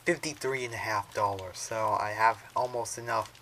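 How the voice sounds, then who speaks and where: A man's voice: fairly low pitch, fairly even intonation, moderate pace, a bit bright, slight background noise. A man casually showing off a radio in an unboxing vlog, rambling as he handles it and filling gaps with "so" and "uh". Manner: rambling, talks while handling the device, many "so" and "uh". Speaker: radio collector showing his gear.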